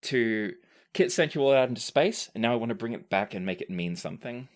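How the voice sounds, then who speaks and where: A woman hosts a casual podcast Q&A as game master. Her pitch moves around a lot as she moderates in an informal, conversational way. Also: expressive, fairly quick.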